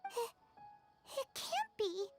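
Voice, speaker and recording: A tiny fairy sidekick from a video game, with a squeaky, extremely high child's voice. She is cheerful and caring, with lively swoops in her earnest delivery. Music plays clearly behind her. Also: slow pace, heavily acted. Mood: worried. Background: background music.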